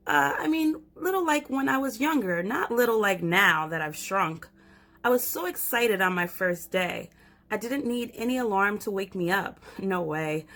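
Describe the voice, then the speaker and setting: A woman voicing a chatty little girl who has shrunk, telling her own story straight to the reader in a lively storybook way. Her voice sounds a little worn, and her pitch sits in a middle range. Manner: lively storybook read-aloud. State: losing her voice.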